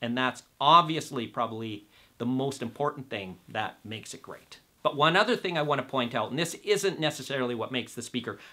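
Bright-voiced middle-aged man reviewing gear. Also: mid-range voice, animated, brisk. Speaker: host and audio reviewer. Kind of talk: product review talk to camera. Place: indoor room set with records on the wall.

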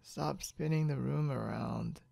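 A man playing a stubborn, boastful, confused drunk young man in an ASMR roleplay, halting and rambling with mumbled, repeated words. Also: medium pitch, mellow, dry recording. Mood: confused, woozy. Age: young adult. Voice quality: gravelly, creaky.

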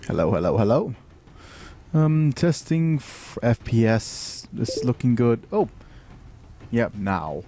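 A man's voice: mid-range voice, lively intonation, slow pace, dark tone, slight background noise. A young man from Thailand with a light Thai accent, streaming and explaining a game with many fillers and restarts. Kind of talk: live game commentary.

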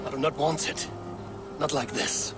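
A man's voice: fairly high pitch, fairly even intonation, moderate pace, very noisy, natural voice. A man playing a stern, defiant Viking warrior in a video game, with a grave and resolute delivery. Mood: resolute, sombre. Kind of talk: dramatic video game acting.

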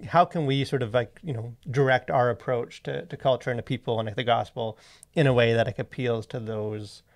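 A man in his thirties co-hosting a casual podcast, talking in a halting, wordy way as he thinks aloud, close on a studio mic. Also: medium pitch, fairly even intonation. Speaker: podcast co-host. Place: small indoor podcast studio. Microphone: close boom-arm studio microphone.

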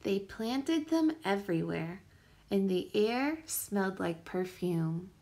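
A woman reading a children's picture book aloud as a teacher would, with careful, expressive storytelling emphasis. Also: medium pitch, takes it slowly.

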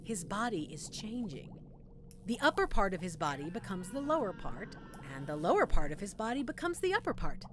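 A woman's voice: medium pitch, background noise, natural voice. A woman playing a sadistic, gleeful mad scientist in an audio roleplay, teasing her captive listener with sweet condescension. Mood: smugly amused.

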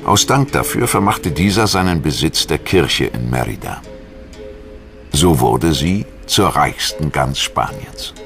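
Very deep, gravelly male documentary narrator. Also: very animated, measured pace. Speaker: documentary narrator and voice-over translator. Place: studio voice-over. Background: music.

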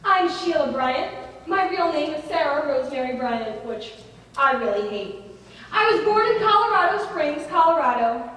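A woman's voice: sits high, expressive, normal speed, fairly bright tone, a little hiss or hum. A woman playing a Broadway dancer at an audition, acting in a stage musical. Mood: self-presenting. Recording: echoey theatre from far.

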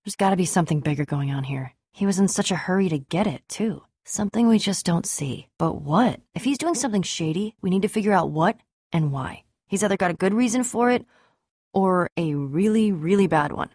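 A woman voicing a tough, sarcastic, self-assured young adventurer and trader in a video game, with an American accent and a wry, brisk delivery. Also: fairly low pitch, lively intonation, a little mellow, slightly rough. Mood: suspicious. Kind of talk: animated adventure game dialogue.

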